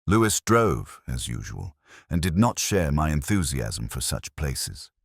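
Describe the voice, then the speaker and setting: A man reading a scripted first-person detective story in a measured, literary, reflective manner. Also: very deep voice, sing-song, gravelly, breathy edge, dry recording, studio quality. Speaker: narrator.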